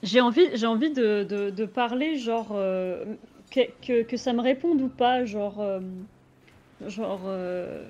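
A woman's voice: a little high, takes it slowly, a little mellow. Woman on a dull lo-fi mic. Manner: searching for words, many fillers. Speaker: player. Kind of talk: casual tabletop roleplay chat. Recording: dull lo-fi mic with faint noise.

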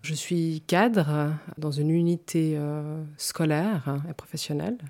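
A woman's voice: sits low, slow, mellow. A woman, speaking in an interview in a thoughtful and hesitant way. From canton of Vaud, French-speaking Switzerland. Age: 40s. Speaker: interview guest. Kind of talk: personal testimony in an interview.

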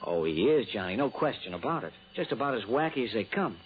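Man acting a fast-talking, chummy office man. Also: medium pitch, very animated, natural voice. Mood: wry, chatty. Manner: hearty, familiar, chatty. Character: chummy, brisk insurance company office man. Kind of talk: old-time radio drama acting. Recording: noisy, narrow-band old radio recording.

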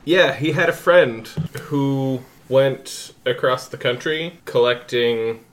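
A man's voice: mid-range voice, slow. A man in his thirties, a tabletop game player, chatting with dry jokes. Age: 30s. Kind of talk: casual chat.

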